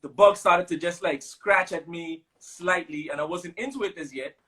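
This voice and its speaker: A man in his thirties with a Caribbean English accent from Sint Maarten and a fairly high voice. He talks in a loose, relaxed way, telling stories with lots of fillers as an interview guest. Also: normal speed. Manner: relaxed storytelling with many fillers. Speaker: guest musician. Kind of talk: casual interview chat.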